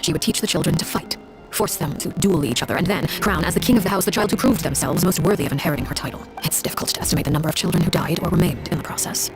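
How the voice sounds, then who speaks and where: A woman acts out a cold, self-possessed commander from a fantasy video game. Her low voice has a creaky edge, and she tells grim tales in formal, unsentimental phrases. Soft music plays behind her. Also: natural voice, rough recording. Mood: grim, detached. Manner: measured, formal, unsentimental storytelling. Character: cold, composed woman, a Harbinger telling her grim past. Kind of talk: scripted dramatic game dialogue.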